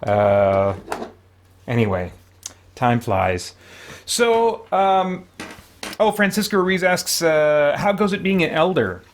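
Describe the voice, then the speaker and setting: A man hosting a livestream in a casual, rambling, thoughtful way, often pausing mid-sentence. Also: fairly high pitch, sing-song. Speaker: livestream host.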